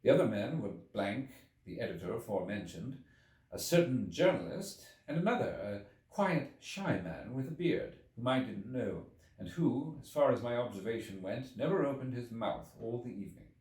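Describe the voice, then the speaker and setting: A man reading a literary audiobook expressively, with measured, theatrical phrasing. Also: medium pitch, sing-song, a little mellow. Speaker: audiobook reader. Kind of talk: expressive scripted literary narration. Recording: echoey room, distant from the mic.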